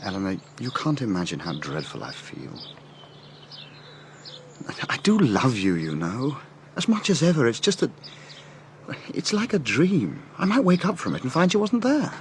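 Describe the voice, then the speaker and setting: An actor playing a troubled, conflicted young gentleman in a British radio drama, well-spoken and earnest, with an English accent. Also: mid-range voice, sing-song, normal speed, long pauses, a little hiss or hum, close to an everyday voice. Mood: anguished, confessing.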